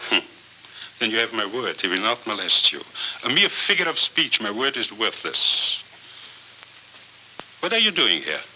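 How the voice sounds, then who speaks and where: An actor in a 1940s film noir playing a cynical, fallen small-time swindler with formal, theatrical, silkily courteous speech. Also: male voice, fairly low pitch, big pitch swings, unhurried, long pauses, bright, forceful tone, heavily acted, poor audio. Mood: suave, mocking. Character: florid, cynical small-time chiseler, fallen grand scoundrel. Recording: hissy 1940s film soundtrack.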